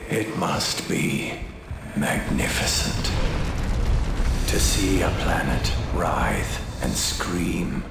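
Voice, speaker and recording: Villainous warlord for a game: theatrical, menacing, creaky, very slow, echoing. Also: male voice, low-pitched, sing-song, very noisy, exaggerated voice. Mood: rapturous menace. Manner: menacing, drawn-out, savoring words. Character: sadistic villainous warlord, gloating and darkly reverent. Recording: echoing voice under very loud music. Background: very loud music.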